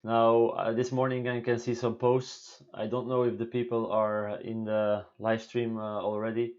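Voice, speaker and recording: A man in his thirties from Belgium with a deep voice, answering viewer questions in halting English on a home live stream. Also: flat delivery, a little mellow, lo-fi sound. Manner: halting, many fillers and restarts, non-native English phrasing. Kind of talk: casual live Q&A talk. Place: small room indoors at home.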